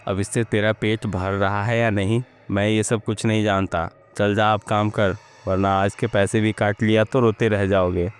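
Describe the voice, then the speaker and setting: A man's voice: lowish voice, little pitch movement, normal speed, hardly any pauses, clean tone, close to an everyday voice. A man voicing a cruel, bullying landlord in a Hindi-style village horror story, with a threatening, scornful manner. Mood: cold, threatening. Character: cruel, menacing landlord man, bullying his farm workers.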